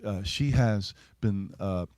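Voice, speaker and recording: An American man, a singer-songwriter chatting casually between songs at a live show, halting and rambling in a self-deprecating way. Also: fairly low pitch, a little mellow, big-room sound. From United States.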